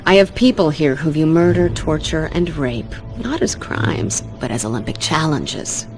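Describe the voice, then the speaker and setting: Imperious female crime boss, lowish voice, contemptuous, cutting, game cutscene, music underneath. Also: lively intonation, moderate pace, darkish tone. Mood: menacing, scornful. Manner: imperious, foul-mouthed, cutting. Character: ruthless, haughty crime heiress boss, contemptuous and menacing. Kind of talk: dramatic video game cutscene acting.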